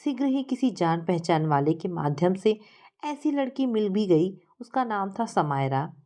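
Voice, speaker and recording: Woman reading out a story, kinda mellow dark voice, middle pitch. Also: sing-song, measured pace. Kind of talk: scripted story narration.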